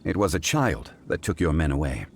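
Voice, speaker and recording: A composed, learned gentleman from a video game, speaks in a very deep voice. His phrasing is formal and deliberate, with richly varied intonation over faint ambience. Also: big pitch swings, a little hiss or hum, exaggerated voice. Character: calm, erudite, dignified gentleman investigator. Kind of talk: video game character acting.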